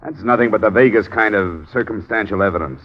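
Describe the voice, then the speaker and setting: An actor playing a tough, persistent American insurance investigator, clipped and matter-of-fact, in an old radio detective drama. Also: male voice, on the low side, fairly quick, natural voice. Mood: skeptical, pressing. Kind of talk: old-time radio detective acting and narration. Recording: dull vintage radio recording, background music.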